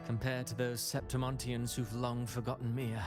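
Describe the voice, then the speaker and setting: A man playing a smug, coldly grandiose villain playwright in a video game, speaking in a pompous, poetic, deliberate way. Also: medium pitch, little pitch movement, close to an everyday voice. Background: loud game background music.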